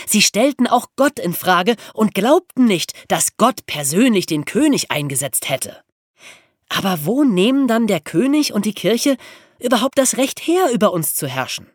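A woman explaining things as a podcast host in a lively educational storytelling style. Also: medium pitch, no echo, clean studio sound.

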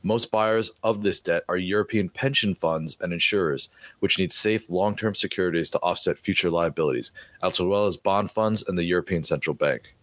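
Low-voiced hoarse man, dry close sound. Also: moderate pace, no echo. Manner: easygoing, steady reading with small stumbles. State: hoarse, slight cold. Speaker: guest co-host, blogger. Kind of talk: casual podcast chat and reading an article aloud. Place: home office.

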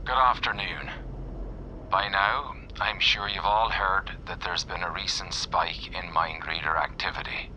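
An actor playing a stern federal special agent giving a formal, authoritative press briefing in an audio drama. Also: male voice, low voice, steady tone, unhurried, bright, forceful tone, exaggerated voice. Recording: muffled radio news clip, faint noise.

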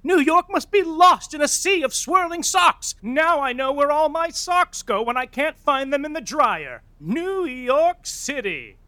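Flamboyant male narrator, audio comedy: bright, dry close sound, dramatic and arch. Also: very high voice, lively intonation, measured pace, hardly any pauses, no echo, heavily acted. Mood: arch, excited. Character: flamboyant, gushing male narrator of a Broadway saga. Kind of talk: theatrical comic narration.

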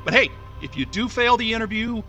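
A breezy guy leaving himself a video message in an audio drama. He rambles in a casual, flippant way, with a high, bright voice. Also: expressive, a little fast, soft background music, exaggerated voice, low-quality recording. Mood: breezy, wry. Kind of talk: naturalistic audio drama acting.

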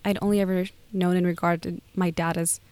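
Low-toned woman guest, wry and calm. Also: fairly quick, smooth, no room sound. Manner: calm, wry, matter-of-fact. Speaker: guest, author. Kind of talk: interview answers.

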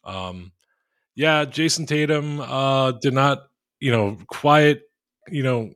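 A man co-hosting a podcast over a call, rambling and self-mocking in a flat monotone with crude jokes. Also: mid-range voice, slow pace, clear, resonant tone, no echo, clean studio sound. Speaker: podcast co-host. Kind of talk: casual podcast chat.

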